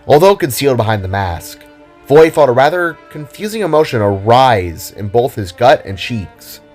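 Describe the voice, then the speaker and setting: A man's voice: mid-range voice, big pitch swings, normal speed, darkish tone, faint music behind. A man narrating a scripted audio drama in a dramatic storytelling style.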